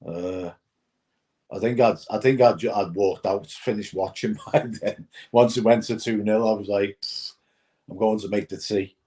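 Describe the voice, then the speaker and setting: A man's voice: on the low side, fairly even intonation, moderate pace, warm tone. Man, casual post-match chat, fillers, asks if you follow. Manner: casual, many fillers and checks for agreement. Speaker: fan channel panelist.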